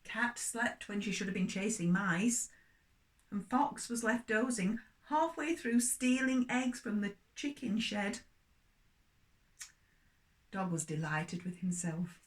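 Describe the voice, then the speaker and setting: A woman's voice: mid-range voice, lively intonation, slow. An older woman telling a children's story expressively, addressing small children.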